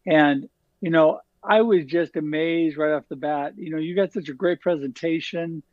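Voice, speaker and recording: A man with a fairly high voice hosts a podcast and praises his guest, filling gaps with "you know." His sound is dry and a bit dull, as if over a lo-fi call line. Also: fairly even intonation, normal speed, dry recording. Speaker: podcast host. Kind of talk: casual interview talk.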